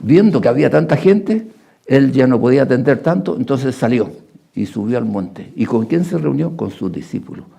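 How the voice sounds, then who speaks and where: Male preacher, very dark mellow tone with creaky edge, reflective and halting delivery, reads scripture in short phrases. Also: medium pitch, lively intonation. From Argentina, Paraguay and Chile. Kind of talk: sermon with Bible readings.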